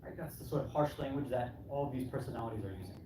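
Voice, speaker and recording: A man's voice: medium pitch, rapid-fire. A man preaches to a congregation in a fairly distant, noisy recording with strong room echo. He talks colloquially, posing rhetorical questions and acting out the answers people might give. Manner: colloquial, checking in with "right?" and voicing imagined replies. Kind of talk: conversational English sermon with rhetorical questions. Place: Islamic center hall. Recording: echoey hall, distant mic, clearly noisy.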